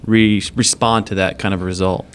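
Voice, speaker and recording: A man's voice: lowish voice, level tone, moderate pace, clean tone. A man hosting a podcast interview, asking questions in a hesitant way, restarting as he goes. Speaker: podcast host.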